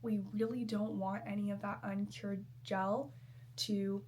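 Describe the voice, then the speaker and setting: A woman's voice: medium pitch, little pitch movement, unhurried, darkish tone, slight background noise. A young woman, a nail technician, explaining step by step in a friendly way while sick and a little nasal. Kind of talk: explanatory tutorial talk.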